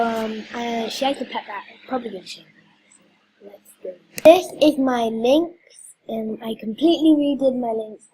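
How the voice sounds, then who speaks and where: A woman's voice: a little high, darkish tone, a little hiss or hum, low-quality recording. A woman hosting a casual vlog, talking hesitantly with many ums while she is losing her voice. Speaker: video host. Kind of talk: casual game walkthrough vlog.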